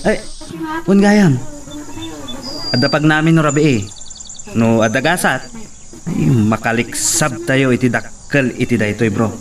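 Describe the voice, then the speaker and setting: A man's voice: mid-range voice, measured pace, long pauses, lightly acted. A man playing a friendly city coworker who coaxes a younger man to come along, in an amateur drama. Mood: coaxing. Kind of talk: amateur audio drama acting. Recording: distant mic with background noise.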